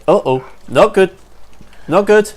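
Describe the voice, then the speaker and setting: A man's voice: high voice, lively intonation, takes it slowly, darkish tone. A man giving casual, upbeat commentary over a survival game, a bit sniffly from allergies and chatting to viewers as folks. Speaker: let's play gamer.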